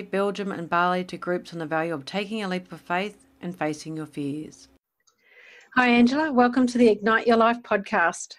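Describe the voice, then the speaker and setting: A woman hosting an interview podcast, introducing her guest and putting questions to them. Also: on the low side.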